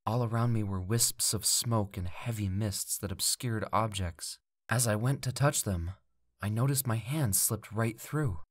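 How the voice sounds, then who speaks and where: A man voicing a driven wandering mage who tells his own life story in measured, theatrical storybook narration. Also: on the low side. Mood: hushed, awed. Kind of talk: dramatic first-person fantasy story narration. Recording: music under the voice. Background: background music.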